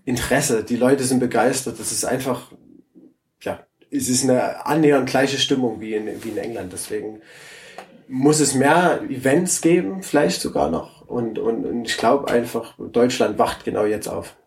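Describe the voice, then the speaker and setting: A man's voice: medium pitch, normal speed, gravelly, darkish tone, slight background noise. A ukulele builder talking enthusiastically at a festival, often restarting and repeating words as he answers interview questions. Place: outdoor music festival.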